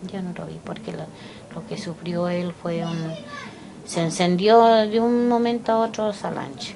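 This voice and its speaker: An older Chilean woman recalling her life slowly and haltingly, pausing often to remember. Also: lowish voice, steady tone, gravelly, background noise. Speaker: interviewee. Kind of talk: personal recollection. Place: indoors, small room by a window.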